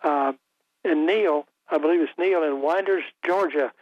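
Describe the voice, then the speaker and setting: An older man hosting a call-in radio talk show, talking in a halting way with many fillers and restarts, his voice a little rough. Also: fairly high pitch, no room sound. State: voice slightly rough after illness. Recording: dry, tinny broadcast audio.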